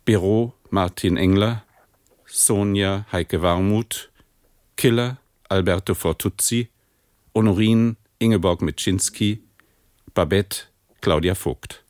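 A male announcer reading the credits of a radio drama in a slow, measured, formal way. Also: low voice, a little mellow, dry recording, clean studio sound.

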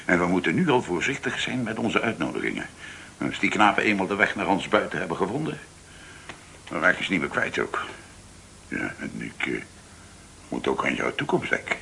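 An actor playing a disgruntled, status-anxious senior office man in a radio play, blustering and halting as he speaks. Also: sits low, huge pitch swings, gravelly, bright, forceful tone, slight background noise, full character voice. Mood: indignant grumbling.

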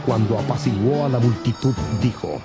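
A man's voice: medium pitch, normal speed, over loud music. A man narrating scripture from a script for a dramatized audio Bible.

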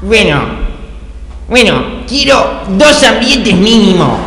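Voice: medium pitch, big pitch swings, slow pace, fairly bright tone, a little hiss or hum, full character voice. A performer playing a demanding, fussy cloned cell in improvised stage comedy, insistently repeating its demands. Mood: fussy, bossy. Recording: echoey hall, music playing behind.

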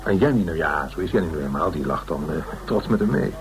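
Flustered, excitable legal adviser, animated low voice. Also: male voice, fast, over music. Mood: hesitant, confiding. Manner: hesitant, stammering, excitable. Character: Karel, flustered, easily offended male legal adviser. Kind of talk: naturalistic Dutch radio play acting. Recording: radio play recording with faint noise.

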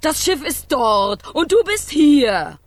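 Children's radio drama: a blunt, feisty young woman stoker, high-pitched, taking her time. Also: big pitch swings, slow pace, no echo, exaggerated voice. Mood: scornful. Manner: blunt, exclamatory, grumbling. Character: feisty, scornful young woman dreaming of being ship's stoker. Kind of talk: children's radio play acting.

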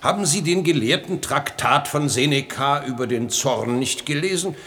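Self-important sage for radio comedy, preaching and touchy, sharp tone with sweeping intonation. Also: male voice, fairly high pitch, animated, moderate pace. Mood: lofty, admonishing. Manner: lofty, preaching, declamatory. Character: pompous, moralizing, easily enraged male philosopher. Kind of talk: 1960 German radio comedy acting.

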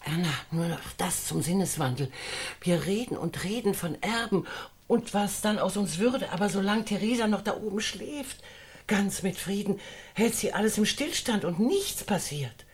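A woman's voice: medium pitch, expressive, normal speed, darkish tone, breathy edge. An actress playing a whimsical, argumentative woman who philosophizes in a wordy, declamatory way in a German radio drama. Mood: reflective.

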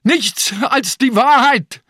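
An older actor playing an old, ailing police inspector in a radio drama, urgent and imploring, with strained speech. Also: male voice, extremely high pitch, slow pace, bright, forceful tone, no room sound, clean studio sound, heavily acted. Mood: desperate pleading. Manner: imploring, insistent, commanding.

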